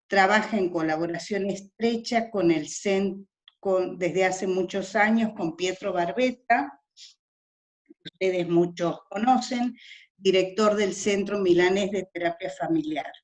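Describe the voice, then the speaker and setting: A woman's voice: medium pitch, a little mellow. A woman hosting an online talk, introducing the guest with frequent hesitations and fillers, over a video call.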